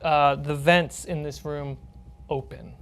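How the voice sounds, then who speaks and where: A woman's voice: low voice, fairly even intonation, slow pace, a crowd in the background. A woman narrating a tabletop role-playing game as game master.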